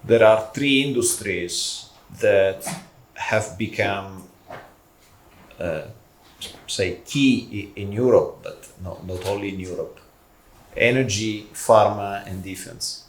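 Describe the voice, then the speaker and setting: A man speaking on a conference panel in a measured, deliberate way with pauses. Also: fairly low pitch, a little hiss or hum. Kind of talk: panel discussion. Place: conference hall. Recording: echoey conference hall.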